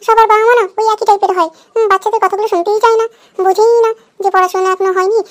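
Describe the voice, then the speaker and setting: Squeaky, racing little cartoon pupil. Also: level tone, rapid-fire. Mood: worried agreement. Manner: chattering, fretful. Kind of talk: Bengali comedy cartoon dub.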